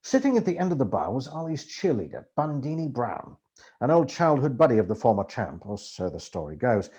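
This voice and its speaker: A man reading a book aloud as expressive, theatrical first-person narration. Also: medium pitch, fairly quick. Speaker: amateur audiobook reader.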